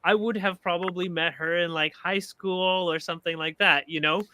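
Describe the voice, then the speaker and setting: A man from Canada hosting a discussion group, telling casual personal anecdotes in halting, hesitant phrases. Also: sits high, fairly even intonation, a crowd in the background.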